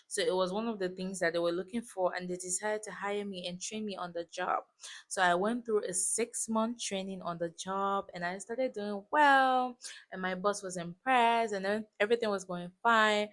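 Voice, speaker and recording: A woman's voice: medium pitch, a bit bright. A woman in her twenties from West Africa, a native French speaker with a West African accent, chatting casually as a vlogger and telling a personal story with emphatic asides. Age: 20s. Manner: chatty, informal, emphatic asides and check-ins. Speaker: YouTube vlogger.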